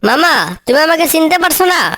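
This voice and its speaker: A woman's voice: very high-pitched, quick pace, a bit bright. A woman voicing a small, clever female bird in a children's cartoon, with a coaxing, pleading manner. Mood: pleading, hurt. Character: small clever female tailorbird, helpful, wheedling niece. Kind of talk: children's cartoon story dialogue.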